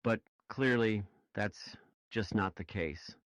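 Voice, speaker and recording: A man's voice: fairly low pitch, slow, fairly bright tone, dry recording, clean studio sound. A man in a casual podcast discussion, speaking emphatically and in a questioning way, on a slightly muffled recording. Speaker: podcast panelist.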